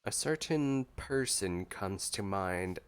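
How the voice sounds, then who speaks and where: A man's voice: on the low side, lively intonation, takes it slowly, slight background noise. A man giving casual, playful reaction commentary on a video game, informal and teasing. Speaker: gameplay commentator. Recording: game music behind the voice.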